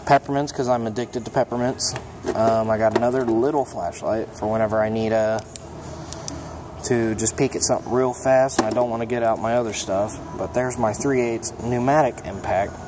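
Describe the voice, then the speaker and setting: A young mechanic casually showing his toolbox, talking in a relaxed, plainspoken way with plenty of ums and uhs. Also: mid-range voice, normal speed. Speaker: mechanic giving a toolbox tour. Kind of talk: casual show-and-tell vlog. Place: auto repair shop, indoors. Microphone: phone held in hand, filming first-person. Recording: shop noise with air conditioning hum.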